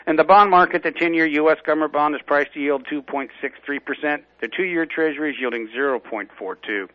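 A man's voice: fairly high pitch, no echo. Male market reporter on a dull line. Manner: brisk, steady reading of figures. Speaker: financial advisor giving a market report.

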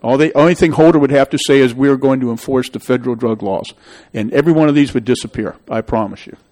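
A man's voice: mid-range voice, quick pace, a little mellow. A middle-aged man hosting a public meeting, rambling and emphatic as he gives his opinion. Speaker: local official hosting a public meeting show. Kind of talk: town meeting discussion, opinionated.

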